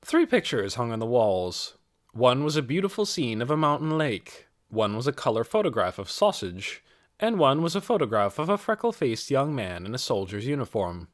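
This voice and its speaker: Expressive man reading an audiobook. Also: mid-range voice, normal speed. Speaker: audiobook reader. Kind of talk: scripted storytelling narration.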